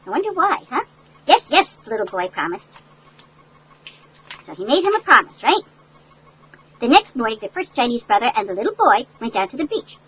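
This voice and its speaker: An older woman reading a picture book aloud to children in an animated, folksy way, with chatty asides that explain things. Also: fairly high pitch, normal speed, long pauses, husky, low-quality recording. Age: older, great-grandmother. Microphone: headset.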